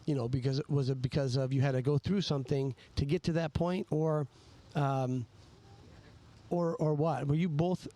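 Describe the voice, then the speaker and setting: A man hosting a casual interview, speaking in a halting, rambling way and often restarting his thoughts mid-sentence. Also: on the high side, fairly even intonation, dark tone. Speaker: podcast host.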